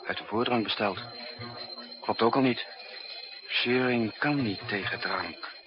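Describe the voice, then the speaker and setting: An actor playing a terse, suspicious enemy agent keeping watch in a 1960s radio drama. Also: male voice, lowish voice, a little slow, natural voice. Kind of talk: 1960s Dutch radio play acting. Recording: dull 1960s radio, loud music behind.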